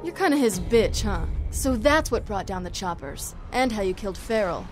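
A woman's voice: medium pitch, animated, normal speed, soft background music, lightly acted. A woman playing a tough, sardonic half-vampire action heroine in a video game cutscene, wry and mocking.

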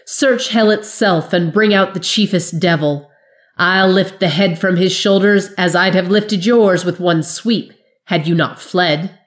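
A woman voicing a fierce, proud warrior woman who shouts her defiance. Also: on the low side, slow. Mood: defiant, scornful.

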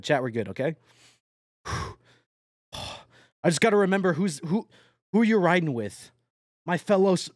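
A young male streamer with a high voice that swoops all over as he chatters to his chat while driving a game truck. He swears freely and yelps and laughs at every mishap. The sound is dry and close, with no room around it. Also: dry recording. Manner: addresses chat directly.

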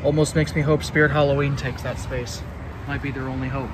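A man's voice: mid-range voice, flat delivery, fairly quick. A man giving casual, off-the-cuff walking-tour commentary as a vlogger, with a dry, self-deprecating sarcasm. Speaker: urban exploration vlogger. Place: outdoors, walking around a building exterior. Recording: outdoor ambience with traffic noise. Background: passing cars, outdoor urban ambience.

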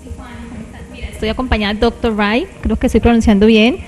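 Woman, slightly high pitch, conversational presenting, studio reverb, background music. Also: steady tone, quick pace, darkish tone. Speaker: TV co-host. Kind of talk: conversational guest introduction. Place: small TV studio set. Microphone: handheld microphone.

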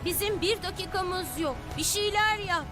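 A woman's voice: very high voice, normal speed. A woman playing a skeptical, blunt, exasperated young hero in a dubbed cartoon. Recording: music behind the voice.

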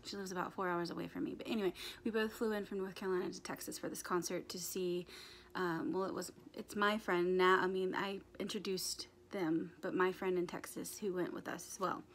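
A young woman in her twenties with a raspy, hoarse voice, chatting casually to a handheld phone camera in a small bedroom, with lots of ums and restarts. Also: fairly low pitch, steady tone, fairly quick, a little hiss or hum. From United States. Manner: rambling, many ums and restarts. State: hoarse from screaming at a concert. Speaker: vlogger. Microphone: phone camera held at arm's length.

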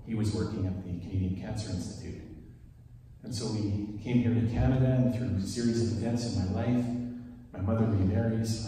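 A man's voice: on the low side, background noise. Swiss-born man preaching in an echoey church. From Switzerland. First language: French. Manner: relaxed storytelling, chatty asides. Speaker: worship leader. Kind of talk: conversational sermon. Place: large wood-panelled church sanctuary. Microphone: handheld microphone, filmed from far. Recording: echoey church hall from a distance.

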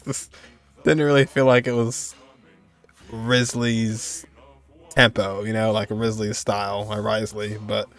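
A man in his twenties giving casual, off-the-cuff reaction commentary in a hesitant, noncommittal way. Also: medium pitch. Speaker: reaction video host.

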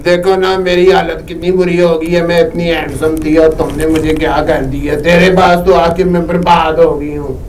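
A whiny, chattering cow from a comic puppet show, acted by a man, fairly high and gravelly. She protests stubbornly and repeats herself. The sound is distant and echoey, with clear noise. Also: little pitch movement, moderate pace, natural voice. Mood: whiny, complaining. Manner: repetitive, protesting. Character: talkative, whiny female cow refusing to be sacrificed.

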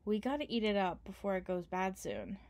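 A woman's voice: medium pitch, sing-song, brisk, darkish tone. A young woman doing an ASMR roleplay as a partner, playful, affectionate and teasing. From Canada. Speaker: ASMR performer.

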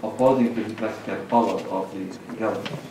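A middle-aged man with a Lebanese accent delivers a measured conference talk at a lectern, setting out his points one by one. His voice sits a little low. It is picked up from across a large lecture hall, with heavy echo and faint room noise. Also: steady tone. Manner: measured, lists points with pauses. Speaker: conference speaker. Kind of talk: academic presentation. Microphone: lectern microphone, far camera. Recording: echoey hall recorded from far off.

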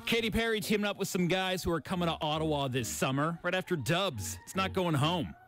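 Young man, pop radio DJ, energetic and highly animated, studio mic with music underneath. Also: fairly quick. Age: young adult. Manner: energetic, punchy delivery. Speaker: radio DJ, music show host. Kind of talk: upbeat pop radio presenting. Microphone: studio broadcast microphone on a boom arm. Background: faint music bed.

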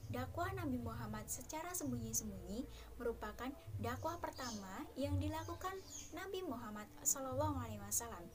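A young woman teaching a lesson to primary school children, slow and careful, with small fillers. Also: on the high side, animated. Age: young adult. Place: dark room, seated before a backdrop.

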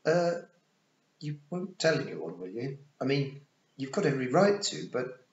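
A man's voice: mid-range voice, big pitch swings, unhurried, pitched above the performer's own voice. A man voicing an embarrassed, well-born boy in an audiobook, hesitant and stammering as he blurts things out. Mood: anxious. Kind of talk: audiobook character voice. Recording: muffled, roomy recording.

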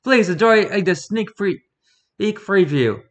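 A man voicing an excitable, easily flustered cartoon Italian plumber, with a put-on Italian accent and halting, stumbling delivery. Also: sits high. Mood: excited, grateful. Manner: halting, stumbling over words.

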